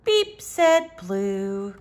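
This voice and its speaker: A woman hosting a children's story time, reading a picture book aloud expressively, with emphatic, drawn-out words. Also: mid-range voice, sing-song, a little mellow.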